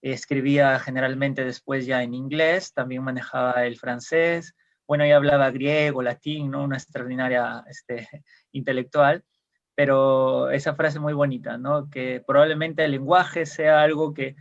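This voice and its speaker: A man's voice: mid-range voice, steady tone, normal speed, gravelly, highs cut off. A man from Peru, a guest explaining ideas in casual conversation, hesitant with many fillers and restarts, heard over a video call.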